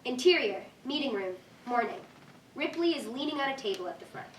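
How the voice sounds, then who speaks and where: A woman's voice: sits high, expressive, brisk. A woman reading stage directions as scripted narration at a staged reading. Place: room with live reading. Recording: echoey room, distant mic, faint noise.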